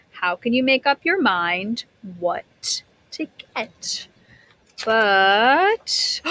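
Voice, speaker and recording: A woman's voice: a little high, a bit bright. A woman teacher reading a picture book aloud to young children, expressively, stretching words and putting questions to her listeners.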